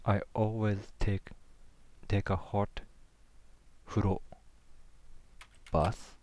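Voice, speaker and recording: A man with a Japanese first language, talking slowly in a low voice into a headset, halting and sounding out words under his breath. Keyboard clicks and typing sit behind him in a small room. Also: little pitch movement, a little mellow, no echo. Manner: hesitant, sounding out words, muttering to himself. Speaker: language learner streaming practice. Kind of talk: casual self-talk while studying.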